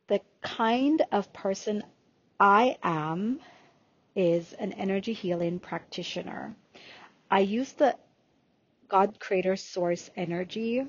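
A woman in her forties, born in Jamaica, speaks with a slight Jamaican accent and an unhurried podcast delivery. She takes her time, drawing out words as she lists things, at a very slow pace. The recording sounds dull and lo-fi. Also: mid-range voice, dry recording. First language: Jamaican Patois. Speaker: podcast host and spiritual healer. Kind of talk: unscripted podcast monologue.

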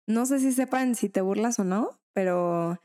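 Young female co-host, soft dark tone, casual. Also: mid-range voice, measured pace. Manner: casual, slangy, hesitant. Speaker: podcast co-host. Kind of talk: casual chat.

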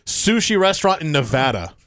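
A man's voice: on the high side, big pitch swings, a little fast, a bit bright, a little hiss or hum. A man hosting a morning radio roundtable, chatting casually and reading reviews dramatically. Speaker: morning show host leading a roundtable. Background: music.

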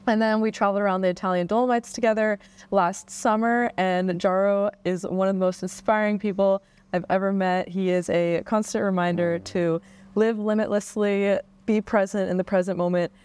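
A woman's voice: medium pitch, fairly even intonation, normal speed, darkish tone, clean tone. A woman hosting a podcast interview in an upbeat, effusive way. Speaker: podcast host.

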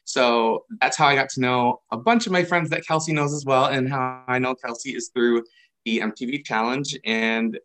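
A man in his thirties from New York State, a guest on a casual podcast, talking in a friendly, relaxed way with some restarts. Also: medium pitch, normal speed, low-quality recording. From New York State, USA. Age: 30s. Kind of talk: casual interview chat.

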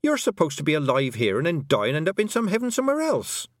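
A man's voice: a little high, huge pitch swings, fast, no room sound, clean studio sound, pitched above the performer's own voice. A man voicing a brave, earnest young girl with a questioning manner in an audiobook. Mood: insistent.

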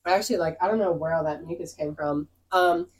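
A woman co-hosting a casual podcast, telling a profane, ranting story while her voice is stuffed up and going hoarse. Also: low voice, fast talker. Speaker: podcast co-host.

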